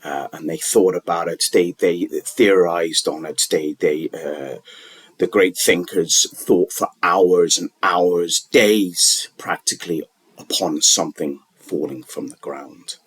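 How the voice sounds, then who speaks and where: A man talking unscripted, giving wellness advice in a halting, rambling way with many restarts and fillers. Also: low voice, breathy edge, no room sound.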